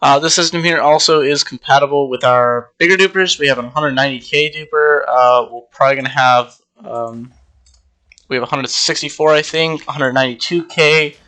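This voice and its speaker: Bright-voiced young man, a bit congested. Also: a little high, a little fast. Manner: rambling, relaxed, many ums. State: slightly congested. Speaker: Minecraft server player. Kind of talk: casual gameplay walkthrough.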